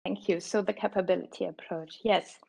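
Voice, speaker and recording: A woman whose first language is German, giving an academic lecture over a video call. She explains at a measured pace and pauses often with "um". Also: lowish voice, steady tone, creaky, darkish tone, slightly rough. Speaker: guest lecturer.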